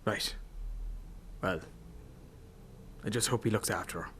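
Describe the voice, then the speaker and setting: A man with an Irish accent playing a gruff, protective middle-aged father in a radio drama, blunt and grumbling but worried. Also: lowish voice, unhurried, fairly bright tone, slight background noise. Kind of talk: naturalistic radio drama acting.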